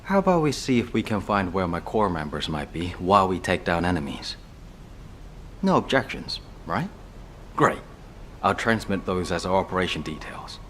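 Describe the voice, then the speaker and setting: An actor playing an earnest, caring and dutiful military medical corps commander in a video game. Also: male voice, low-pitched, very animated, moderate pace, fairly bright tone, a little hiss or hum. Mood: businesslike, concerned. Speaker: field officer. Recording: roomy, distant, music underneath.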